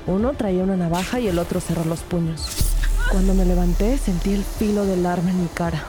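A woman's voice: fairly low pitch, a little fast, lightly acted. An actress playing an earnest, determined female police investigator who narrates a crime audio drama in the first person. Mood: tense narration. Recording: studio drama under loud music bed.

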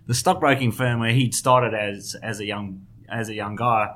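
Bright-voiced South African man. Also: fairly low pitch, steady tone, normal speed. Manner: hesitant, many restarts and fillers. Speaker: guest, finance journalist. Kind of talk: conversational interview.

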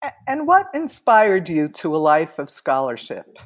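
A woman hosting an interview show, with a somewhat low voice. She reads her introduction in a formal, measured manner. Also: sing-song. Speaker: podcast host. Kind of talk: scripted introduction and interview questions.

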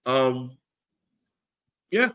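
A man's voice: medium pitch, big pitch swings, extremely slow, a bit bright, a little hiss or hum. An American man hosting a casual sports podcast, speaking colloquially and repeating phrases for emphasis. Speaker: podcast host.

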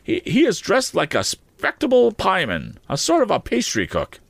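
A man's voice: high-pitched, wildly swooping pitch, moderate pace, gravelly, fairly bright tone, dry recording, pitched above the performer's own voice, heavily acted. A man voicing a nervous man with a head cold who stammers and hesitates. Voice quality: stuffy, cold-ridden voice.

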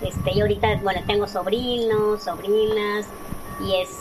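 A Mexican woman in her forties talks as an interview guest, recounting personal experience in a conversational way. Her voice sits at a medium pitch, with clearly audible noisy ambience behind it. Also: steady tone, moderate pace. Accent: Mexican Spanish. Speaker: interview guest, author and speaker.

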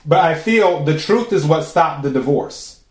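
A man with a raspy voice hosting a podcast, giving a casual, chatty tarot reading and musing aloud with drawn-out pauses. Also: fairly high pitch, lively intonation, noisy background. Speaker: tarot reader and podcast host. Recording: roomy echo.

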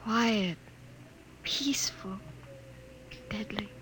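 An actress playing a tender, earnest young survivor in a vintage radio drama, moving between hushed and urgent delivery. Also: female voice, mid-range voice, very slow, a little hiss or hum. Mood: weary, afraid. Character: young woman survivor emerging onto ruined Earth, tender and earnest. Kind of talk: 1950s radio drama acting.

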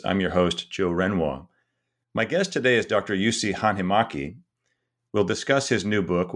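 A man hosting a book interview podcast, reading a formal introduction and asking questions. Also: low-pitched. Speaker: podcast host.

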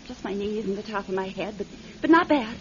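An American actress playing a nervous, secretive, sharp-tongued young woman stranded as a passenger in a radio drama. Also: medium pitch, animated, brisk, close to an everyday voice. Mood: guarded. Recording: dull, noisy old radio recording.

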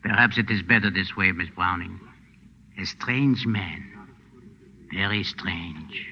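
A man's voice: low-pitched, slow. A man in 1940s radio acting, playing an obliging, cautious French hotel proprietor who speaks hesitantly. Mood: reflective. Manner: hesitant, polite. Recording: muffled, faintly noisy vintage radio broadcast.

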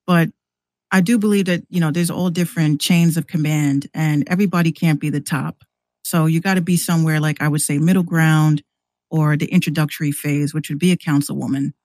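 An American woman chatting casually and candidly in a slangy, animated way, acting out exchanges as she tells stories. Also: low voice, fairly quick, dry recording. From New York City, US. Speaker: podcast guest, rapper and radio show host. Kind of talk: casual conversational storytelling.

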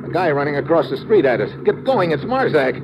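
Brash radio secret agent, swinging delivery. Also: male voice, a little high, sing-song, fairly quick, heavy background noise. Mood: tense, urgent. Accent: American. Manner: brash, slangy, sardonic. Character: tough, wisecracking American male secret agent. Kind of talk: 1950s radio adventure acting, hard-boiled narration. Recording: dull vintage radio recording.

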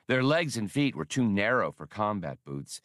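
Animated male audiobook narrator. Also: on the low side, moderate pace, no room sound, studio quality. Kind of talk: expressive scripted fiction narration.

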